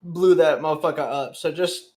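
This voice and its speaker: Higher-voiced man, casual gamer. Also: moderate pace, a little mellow. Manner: blunt, sweary, informal. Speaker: tabletop game player. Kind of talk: casual chat.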